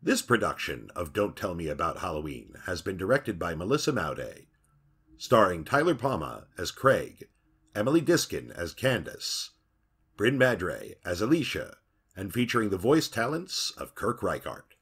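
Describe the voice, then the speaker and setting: A man's voice: sits low, sing-song, moderate pace, fairly bright tone, slightly rough. A man reading scripted credits as an announcer.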